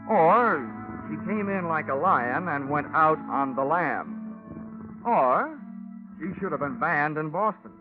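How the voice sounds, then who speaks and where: An American actor playing a hard-boiled, wisecracking insurance investigator in a vintage radio drama, with clipped, dry delivery. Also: male voice, a little high, animated, takes it slowly. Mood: wry. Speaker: lead actor, narrator. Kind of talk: 1940s radio detective acting. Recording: tinny vintage radio recording, music behind.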